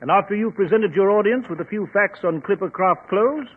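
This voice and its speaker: A man's voice: high voice, a little fast, breathy edge. A man playing a genial, bluff English doctor and storyteller with a British accent, in 1940s radio acting style on a tinny old recording. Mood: genial, storytelling.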